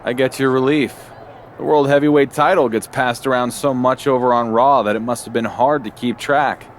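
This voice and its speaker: A brash young wrestling champion, voiced by a man speaks with easy confidence and a defiant edge, his pitch sitting in the middle. Faint traffic hums behind him. It has the feel of a game cutscene. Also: fairly even intonation, moderate pace, lightly acted. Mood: cocky. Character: cocky male wrestling champion, young adult. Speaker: player character. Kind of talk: video game voice acting.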